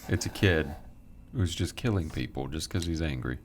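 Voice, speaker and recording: A man chatting on a podcast in a deadpan way, asking naive questions and spinning schemes. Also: very deep voice, normal speed, a little hiss or hum. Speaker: podcast guest. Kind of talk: casual podcast chat.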